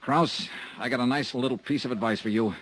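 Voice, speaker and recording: A man's voice: mid-range voice, fairly quick, fairly bright tone, lightly acted. An actor playing a tough, sarcastic male insurance investigator in a 1950s radio drama, also narrating in first person. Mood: menacing. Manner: hard-boiled, sarcastic. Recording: faint hiss of old radio transcription.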